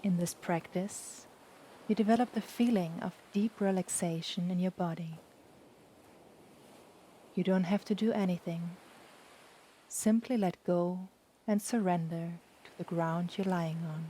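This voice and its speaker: A woman guides a relaxation meditation in a low, mellow voice. She speaks very slowly and softly, leaving long, soothing pauses between phrases. A faint wash of ocean sound runs behind her. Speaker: yoga nidra meditation guide. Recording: steady background noise, ocean waves.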